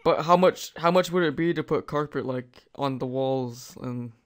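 Clear-voiced young man, deadpan prank caller. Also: medium pitch, normal speed. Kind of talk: deadpan prank phone call. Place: voice call over computer.

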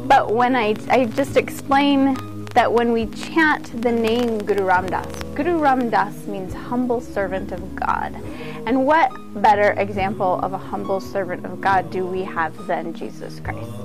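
A woman's voice: medium pitch, a little slow, over music, poor audio. A woman giving an informal instructional talk as a yoga teacher, conversational and earnest.

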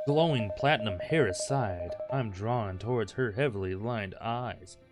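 A man's voice: lowish voice, measured pace. A man hosting a gaming video, reading a visual novel aloud in a mock-dramatic way and breaking off for joking asides. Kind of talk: comic read-aloud of game text with joking asides. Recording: music playing behind. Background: loud background music.

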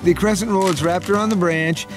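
A man's voice: high voice, fairly even intonation, fairly quick, music in the background. A man in his forties narrating a casual family camping vlog outdoors, joking and playful, holding the camera at arm's length. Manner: jokey, playful. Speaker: vlogger dad. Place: outdoor wooded campsite. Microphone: handheld camera at arm's length.

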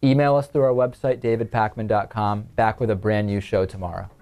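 A man's voice: on the low side, steady tone, a little fast. A man in his twenties with an American accent, presenting a political talk show as host in a studio. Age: 20s. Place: small TV studio, indoors.

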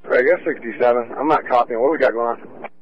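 A man working as a police officer, making brisk calls over the radio during an emergency. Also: mid-range voice. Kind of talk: urgent radio traffic. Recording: lo-fi radio transmission.

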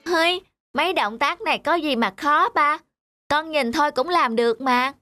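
A woman's voice: high voice, normal speed, lightly acted. A woman voicing a cocky, stubborn little schoolboy in a cartoon, sulky and boastful. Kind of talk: cartoon voice acting. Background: music.

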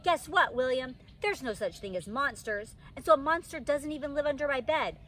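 An anxious little schoolgirl, acted out by a woman in a children's storybook reading. Her voice is high and swoops about as she pleads and pesters with childlike insistence. Some outdoor noise sits around it. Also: lively intonation, moderate pace, a bit bright. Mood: nervous pestering. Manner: pleading, insistent, repeats herself, childlike grammar. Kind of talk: children's storybook read-aloud, dramatized. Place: outdoors in a wicker pod chair under trees.

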